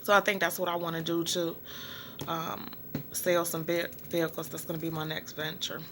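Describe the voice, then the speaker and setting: A middle-aged woman and small business owner talking casually to her viewers in a rambling way, with many fillers and pauses. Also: fairly low pitch, level tone, noisy background. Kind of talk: casual vlog monologue.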